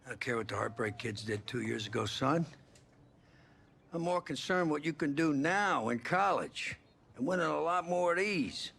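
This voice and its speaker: A man's voice: on the high side, lively intonation, normal speed, vocal fry, fairly bright tone, exaggerated voice. A man playing a stern, blunt college football head coach in a video game cutscene. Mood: stern, firm. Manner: firm, blunt.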